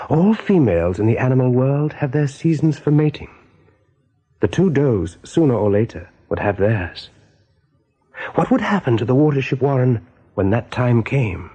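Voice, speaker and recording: A man narrating a radio play in a measured, literary storytelling manner. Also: medium pitch, lively intonation, warm tone, husky, highs cut off.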